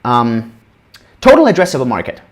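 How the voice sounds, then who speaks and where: A man's voice: mid-range voice, normal speed. A man in his thirties from Austria, giving a casual livestream lecture on investing at a whiteboard, often tagging sentences with "right?". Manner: animated, casual, frequent "right?" tag questions. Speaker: crypto educator.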